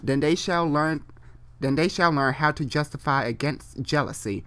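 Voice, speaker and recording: A man's voice: on the high side, normal speed, fairly bright tone, smooth, natural voice. A man voicing a stubborn, imperious God, a commanding and dismissive father king, in an amateur story reading.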